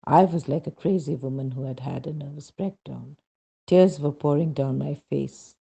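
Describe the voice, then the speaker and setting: A very dark, mellow voice reads a devotional testimony aloud. It is heavily creaky and quite husky, with emphatic, dramatic phrasing that sets each short phrase apart. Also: medium pitch, moderate pace. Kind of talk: scripted narration of a devotional testimony.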